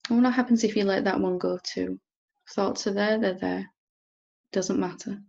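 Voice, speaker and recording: A woman teacher leading a guided meditation in a gentle, unhurried manner with long pauses. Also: medium pitch, fairly even intonation, gravelly, highs cut off. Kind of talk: guided meditation, softly instructing.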